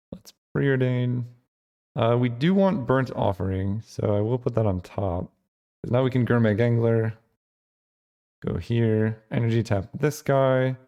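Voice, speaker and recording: Gravelly-voiced man thinking aloud. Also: mid-range voice, dark tone. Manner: thinking aloud, rambling with fillers. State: voice getting rough and hoarse. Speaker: channel host. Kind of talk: casual gameplay commentary.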